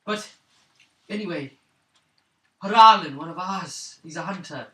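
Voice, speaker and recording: A man plays a wounded young clanswoman in a tabletop fantasy game, shaken and weary. The delivery is halting and pleading, with a bright, forward edge. It is caught from off the mic in a roomy, hissy space. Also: sits high, far from the mic, full character voice. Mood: weary, bitter. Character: wounded, shaken young woman of a wandering clan.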